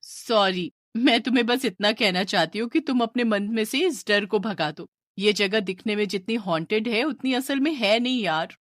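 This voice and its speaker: A woman's voice: medium pitch, moderate pace, few pauses, dry recording, clean studio sound, natural voice. A woman playing a teasing, fearless young sister in a horror story, with a playful, mocking manner. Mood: reassuring.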